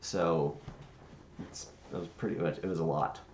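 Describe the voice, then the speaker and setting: A man with a low, creaky voice talks casually on a livestream as a hobby air traffic controller. He rambles and pauses, thinking out loud. The room sounds echoey, with faint noise behind him. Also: steady tone, dull audio. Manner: halting, rambling, thinking aloud. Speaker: streamer playing virtual air traffic controller.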